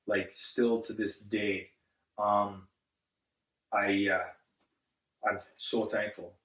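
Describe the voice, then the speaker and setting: A man born in Zambia, a guest on an interview, speaking earnestly and haltingly with many restarts. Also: lowish voice, level tone, fairly bright tone, distant mic. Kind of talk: casual interview. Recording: echoey room, set back from the mic.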